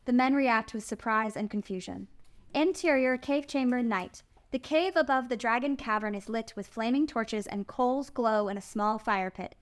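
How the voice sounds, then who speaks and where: Woman reading out script directions, kinda high voice, narrator at a table read. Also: moderate pace.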